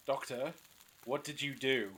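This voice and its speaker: A man's voice: medium pitch, fairly even intonation, unhurried, a bit bright, natural voice. A man acting a suspicious, bossy, sarcastic character in an audio drama. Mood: accusing, scornful. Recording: noisy fan audio drama recording.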